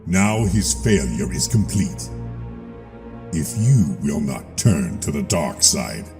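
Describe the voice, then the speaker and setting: A man voicing a menacing, commanding dark lord who taunts in a slow, measured, ominous way. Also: a bass voice, animated, exaggerated voice. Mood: menacing, taunting. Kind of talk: film villain acting for a voice reel. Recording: long reverb with music behind.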